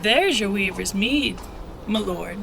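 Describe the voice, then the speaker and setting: A woman acting as a sly smuggler guide with plenty of gravel and fry in her voice. She is brash and irreverent, speaking in folksy slang for an audio drama, over clear background ambience. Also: mid-range voice, animated, slow, noisy background. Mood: taunting.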